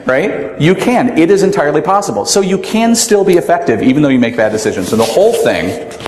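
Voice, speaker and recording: A man with a very loud voice giving a conference talk, animated and rhetorical, often asking the audience "right?". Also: mid-range voice. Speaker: conference speaker. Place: large conference room. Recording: echoey hall with long reverb.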